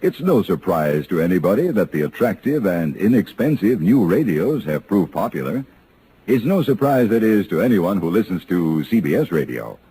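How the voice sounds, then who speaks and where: Deep, mellow old-time radio announcer man. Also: sing-song, normal speed, a little hiss or hum, rough recording. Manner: polished, declarative. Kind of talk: 1950s radio announcing, scripted. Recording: old radio broadcast recording.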